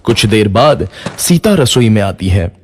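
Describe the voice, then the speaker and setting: A man's voice: on the low side, sing-song, unhurried, darkish tone, slightly rough. A man narrating a scripted moral story for children.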